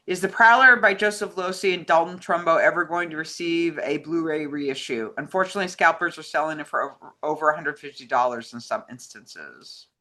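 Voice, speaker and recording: A woman's voice: low voice, brisk, hardly any pauses, bright, forceful tone. A woman co-hosting a casual conversation and reading out questions from fans. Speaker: co-host reading fan questions.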